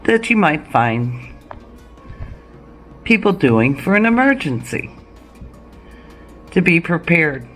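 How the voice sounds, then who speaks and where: A woman's voice: lowish voice, big pitch swings, slow, pauses often, a bit bright, soft background music. A woman hosting a homesteading video, talking straight to viewers in an earnest, emphatic way with rhetorical questions. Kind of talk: direct-to-viewer preparedness talk.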